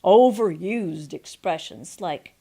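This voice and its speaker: A woman in her sixties reading a folksy memoir aloud as a storyteller, expressive and deliberate, with pauses. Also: fairly low pitch, gravelly. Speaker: storyteller and audiobook narrator. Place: quiet room at home, indoors. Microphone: microphone on a stand before her, seated.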